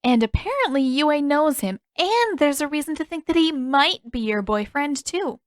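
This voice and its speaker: A nosy young girl from a fantasy story, acted out by a woman reader, speaks in a raised, girlish pitch. She is cheeky and blunt, needling and persistent, with a sing-song teasing lilt. The sound is close and dry. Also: lively intonation, normal speed, no room sound.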